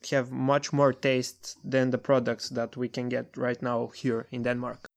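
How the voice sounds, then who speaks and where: Flat-voiced man. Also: mid-range voice, measured pace, creaky. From Bulgaria. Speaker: startup co-founder. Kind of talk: interview answers.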